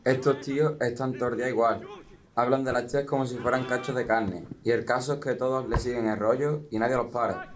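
A man's voice: medium pitch, fairly even intonation, brisk, lightly acted. A teenage male student with an Andalusian accent playing a principled, reproachful young footballer in an amateur radio play. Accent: Andalusian Spanish. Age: teens. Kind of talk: amateur radio drama acting.

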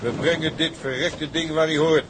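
Man acting a plain-spoken sailor, fairly high voice. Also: steady tone, normal speed, background noise, close to an everyday voice. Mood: determined. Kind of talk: radio drama acting.

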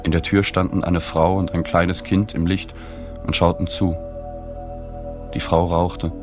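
Deep voiced reporter narrating a radio play, sober, observant, bit of music behind. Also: male voice, level tone, unhurried. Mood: observant, detached. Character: male journalist narrating his story, sober, observant, detached. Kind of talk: radio drama first-person narration.

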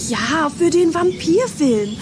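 High-voiced, eager schoolgirl. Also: animated, slow pace, roomy sound, noisy background. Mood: playful, proud. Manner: insistent, giggly, showy. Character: eager, pushy schoolgirl keen on vampire films. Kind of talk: German children's radio play acting.

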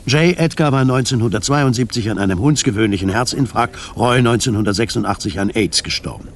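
A man reading scripted narration for a radio drama, measured and articulate. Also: mid-range voice, slight background noise.

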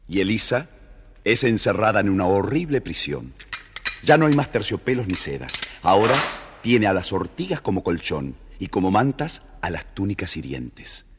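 A man narrating a scripted children's fairy tale as an expressive, dramatic storyteller. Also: deep voice, moderate pace, noisy background.

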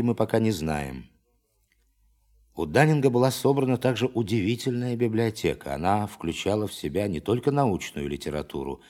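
An actor playing an authoritative official giving a formal, emphatic briefing to scientists in a radio play. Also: male voice, fairly low pitch, a little mellow, natural voice. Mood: grave. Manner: formal, emphatic, commanding, dramatic pauses. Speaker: presenter of a demonstration.

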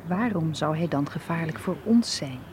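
An actress in a radio play playing a stubborn, determined young woman with a brisk, defiant manner. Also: sits low, lively intonation, background noise. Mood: insistent. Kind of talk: radio drama acting. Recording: radio play with crowd murmur behind.

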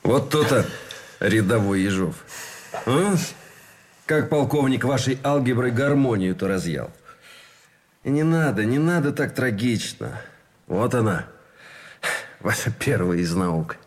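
An actor playing an earnest Russian army officer in a radio play, philosophizing and arguing through rhetorical questions. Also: male voice, mid-range voice, lively intonation, unhurried, long pauses, natural voice. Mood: wry.

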